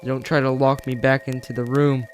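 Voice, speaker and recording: Guy reading as a vengeful mascot suit horror villain, insistent short threats, mid pitch, music faintly behind. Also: flat delivery, normal speed, natural voice. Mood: threatening, louder. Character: vengeful man fused to mascot suit, obsessed, menacing. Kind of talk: horror tape monologue read aloud.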